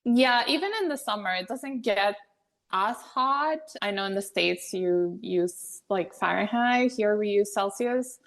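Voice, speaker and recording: A woman's voice: medium pitch, unhurried, creaky. A young woman from Venezuela, a podcast guest talking in a casual, chatty way and laughing often. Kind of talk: casual interview conversation.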